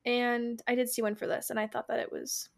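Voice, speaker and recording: A woman with a fairly high voice talks as a podcast co-host. Her delivery is casual and unscripted, with a giggly, animated way of telling stories. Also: brisk, gravelly. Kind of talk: casual unscripted book chat.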